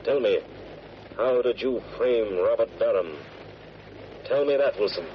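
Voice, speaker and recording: An actor playing a self-assured, commanding crime-fighting hero in an old radio drama. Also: male voice, mid-range voice, unhurried, natural voice. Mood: urgent, insistent. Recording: noisy, muffled vintage radio recording.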